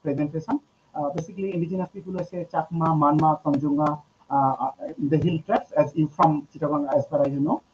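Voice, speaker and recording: A fairly high-voiced man, presenting academic work remotely. He talks haltingly, with lots of filler sounds between his phrases. His voice comes through muffled, video-call audio. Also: slight background noise. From Bangladesh.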